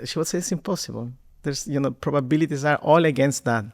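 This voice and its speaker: Animated Ecuadorian-born man telling his story. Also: a little high, fast talker. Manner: warm and animated, repeats words when starting. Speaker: podcast guest, marketing executive. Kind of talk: conversational storytelling.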